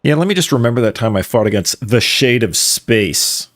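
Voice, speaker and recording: A man's voice: mid-range voice, expressive, normal speed. A man live streaming a game, giving casual, joking, slangy commentary and talking back to what happens on screen. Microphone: streamer's microphone, game music behind.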